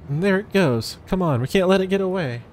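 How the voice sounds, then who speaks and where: A man voicing an eager, excitable and naive video game sidekick in a chirpy, earnest way. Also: high-pitched, a little fast, slight background noise, pitched above the performer's own voice. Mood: excited.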